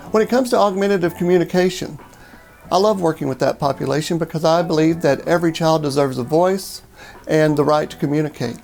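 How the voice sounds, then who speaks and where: A man introducing himself as a speech pathology professor. His voice sits a little high with a gravelly fry, and his delivery is sincere and steady. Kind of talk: scripted self-introduction.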